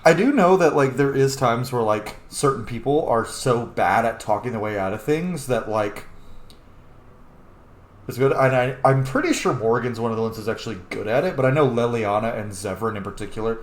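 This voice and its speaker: A man's voice: medium pitch, lively intonation, normal speed. Casual gamer guy in a roomy space. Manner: informal, sweary. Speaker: gaming channel host. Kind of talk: casual let's play commentary. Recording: roomy echo with faint noise.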